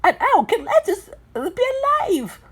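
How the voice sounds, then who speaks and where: A woman puts on a proud little cartoon number block girl who wants all the glory. The voice sits high and sounds indignant and protesting. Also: very animated, measured pace, heavily acted.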